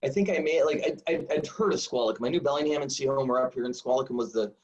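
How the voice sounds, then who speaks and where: A man in his forties, a high school football coach, telling stories in a rambling, self-deprecating way full of fillers. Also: quick pace, a little mellow. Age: 40s. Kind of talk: casual storytelling interview. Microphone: video call. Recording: dull lo-fi video call audio.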